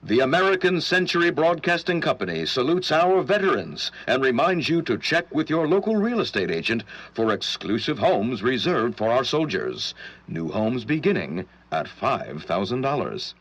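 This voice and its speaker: A man's voice: mid-range voice, very animated, moderate pace, a bit bright. Formal old radio promo guy, very sing-song swoopy delivery. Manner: polished, formal announcer. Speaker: station announcer. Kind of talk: 1940s radio station promos.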